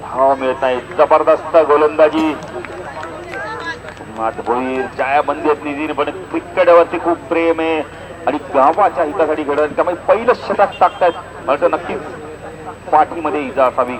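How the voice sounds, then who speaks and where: A man's voice: on the high side, moderate pace, rough recording. A man giving live commentary and announcements at a local outdoor cricket tournament. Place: outdoor village cricket ground. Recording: outdoor event sound, crowd behind.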